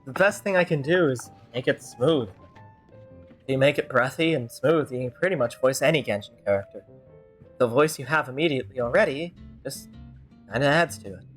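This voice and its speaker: Streamer role: woman giving wry, off-the-cuff live game commentary in a chatty way, soft music underneath. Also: a bass voice. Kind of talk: casual live reaction to a game.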